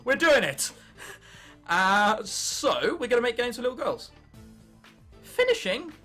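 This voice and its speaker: A teenage man from England with an English accent, commentating on gameplay and thinking aloud in an excitable, sweary, self-mocking way. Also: very high-pitched, a little slow, faint music behind. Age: late teens. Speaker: gaming video host.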